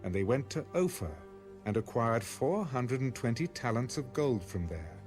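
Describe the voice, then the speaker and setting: A man's voice: medium pitch, very animated, normal speed, a little mellow. A man narrating scripture in a scripted, dramatic reading style. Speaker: audio Bible narrator. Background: music.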